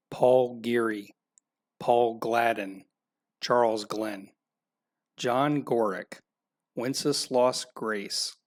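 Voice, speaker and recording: A man reading out a list of names formally for a memorial roll call. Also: medium pitch.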